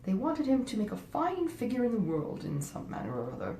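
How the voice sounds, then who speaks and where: A woman's voice: huge pitch swings, fairly quick, dark tone. A woman reading a classic novel aloud as scripted literary narration. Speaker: audiobook reader, author reading a classic novel. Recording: roomy echo, faint rumbling noise.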